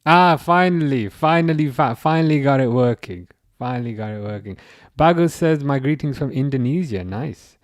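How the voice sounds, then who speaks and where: A young English man hosts a football livestream from home, reading out viewer messages and rambling with plenty of false starts. His voice sits at a middle pitch. It comes through dry and close on the mic. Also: animated, normal speed, hardly any pauses, no echo. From England. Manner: rambling, repeats words and restarts, reads chat aloud. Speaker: livestream host. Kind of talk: casual football livestream chat.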